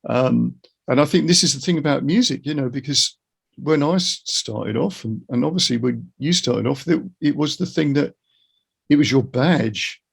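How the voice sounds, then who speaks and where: Husky, gravelly middle-aged man, reflective. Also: mid-range voice, normal speed. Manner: reflective, earnest, opinionated. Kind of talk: casual conversational interview.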